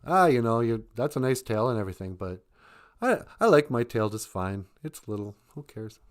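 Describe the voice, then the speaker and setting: A man's voice: mid-range voice, moderate pace, natural voice. A man voicing a laid-back old bear. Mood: easygoing. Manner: easygoing, relaxed. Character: calm good-natured male bear.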